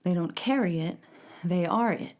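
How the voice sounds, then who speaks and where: A woman playing a grimly judgmental interviewee in an audio drama, giving her opinion in a deliberate, pointed way. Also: lowish voice, darkish tone, lightly acted.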